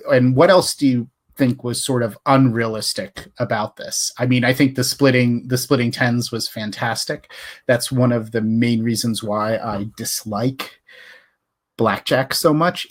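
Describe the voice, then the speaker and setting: A man hosting a live webcast, talking casually and conversationally as he muses and puts questions to his audience. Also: mid-range voice. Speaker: host of a gambling webcast.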